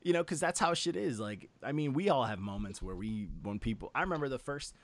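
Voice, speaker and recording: A man's voice: medium pitch, lively intonation, fairly quick, no room sound. A man in a casual album review chat, talking as a host in a slangy, profane, enthusiastic way. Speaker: music review show host.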